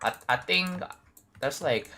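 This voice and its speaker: A man's voice: on the high side, animated, slow, bright, forceful tone, slight background noise. A man with an Indonesian accent, chatting casually to his livestream viewers while building a game level and thinking aloud. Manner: thinks aloud, talks to chat, many fillers and restarts.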